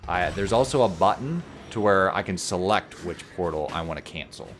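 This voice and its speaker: A man, a little hoarse, casually commentating a video game and explaining puzzles step by step with jokes. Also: low voice, lively intonation, normal speed, a bit bright, a little hiss or hum. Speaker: let's play commentator.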